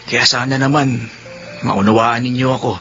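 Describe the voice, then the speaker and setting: A man's voice: mid-range voice, steady tone, moderate pace, natural voice. A man playing an earnest young man in love in a radio drama, pleading and hesitant, stammering when nervous. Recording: dull lo-fi audio, faint music behind.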